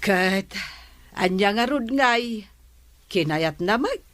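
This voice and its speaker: A woman's voice: medium pitch, animated, slow. An actress playing a weary, resigned older village aunt in a radio drama, drawing out her words.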